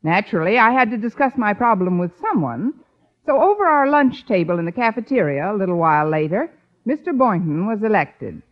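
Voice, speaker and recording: An actress playing a wry, quick-witted schoolteacher in an old-time radio comedy, with dry, sardonic wisecracks. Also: female voice, medium pitch, big pitch swings, close to an everyday voice. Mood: wry narration. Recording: dull vintage radio recording.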